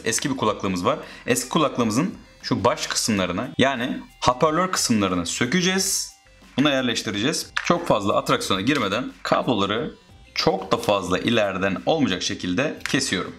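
A man's voice: medium pitch, animated, a little fast, fairly bright tone, faint music behind. A man narrating a casual step-by-step how-to as a DIY host.

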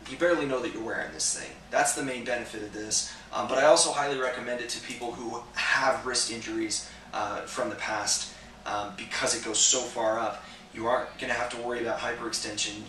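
A young man giving a casual, relaxed product review to camera for a store, filling pauses with lots of "uh" and "um". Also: medium pitch, slight background noise. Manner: relaxed, conversational, many "uh" and "um" fillers. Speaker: gear reviewer for a sports protection store. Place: indoor shop room with slat wall. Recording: echoey room, voice a bit distant.

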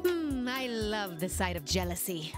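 A woman's voice: mid-range voice, sing-song, unhurried. An actress playing a brash, cocky female street-gang boss in a video game, wry, teasing and commanding. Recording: voice mixed with loud game music.

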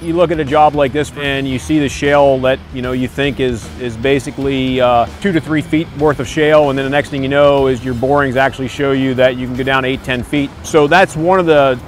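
American man, mid-pitched, over music. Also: fairly even intonation, a little fast. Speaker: construction company owner. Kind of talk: casual promotional interview. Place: outdoors on a construction site. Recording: music bed under the voice. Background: background music.

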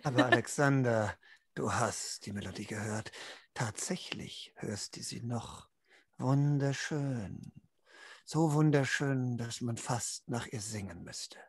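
A man's voice: mid-range voice, slow pace, slightly rough, dry recording. A man tells an atmospheric story as a game master. He takes his time, lingering dramatically on his words. The sound is dry and intimate, with very little room. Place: indoor room at home, video call.

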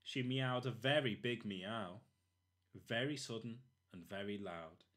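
A man narrating a children's storybook expressively, in short, deliberate phrases. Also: on the low side, a bit bright. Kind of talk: expressive children's read-aloud.

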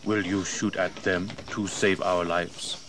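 A grave, dignified chief speaks very low and slowly. He is wary and deliberate, as in a historical audio drama. The recording is noisy. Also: level tone. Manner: slow, measured, deliberate. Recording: distant mic, noisy old recording.

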